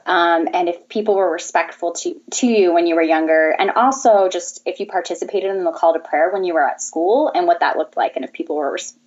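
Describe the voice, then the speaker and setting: A woman's voice: fairly low pitch, fairly quick, few pauses, darkish tone. Eager young woman host, lo-fi sound. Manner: eager, friendly questioning. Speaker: podcast host. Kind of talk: interview questions. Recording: dull, lo-fi remote audio.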